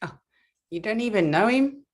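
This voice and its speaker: A woman's voice: mid-range voice, animated, moderate pace, natural voice. A woman playing a wry Old West woman in a live table read of a western screenplay. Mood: doting.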